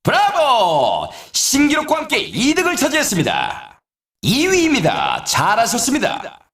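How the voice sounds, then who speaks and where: An excitable male video game announcer calling snowboard races. His voice sits high and swings dramatically up and down. The phrasing stays formal and polite, delivered with exclamatory, showy flair. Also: unhurried, echoey room, a little hiss or hum, exaggerated voice. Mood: excited, praising.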